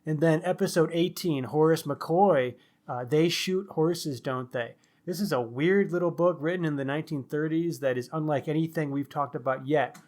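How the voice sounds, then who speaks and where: A man with a somewhat higher-pitched voice co-hosts a book podcast. He talks casually, as in an informal chat with a fellow host and the listeners. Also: moderate pace, a little mellow.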